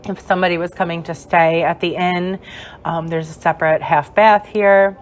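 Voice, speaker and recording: A young woman with a hoarse, fading voice, giving a chatty, rambling gameplay tour voice-over with many fillers. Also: lowish voice, little pitch movement, moderate pace, a little hiss or hum. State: hoarse, losing her voice. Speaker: gaming creator.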